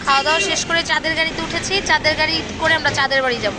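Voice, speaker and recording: A woman's voice: high-pitched, fairly even intonation, very fast. A young woman with a Bangladeshi accent chats casually to her viewers as a vlogger, mixing in English words. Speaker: vlogger, host. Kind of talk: casual vlog chat to viewers. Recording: outdoor vlog with crowd and vehicle noise.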